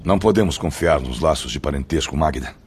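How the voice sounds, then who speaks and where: An actor playing an honorable, persecuted husband in a radio drama, grave and urgent. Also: male voice, rumbling low, brisk, breathy edge.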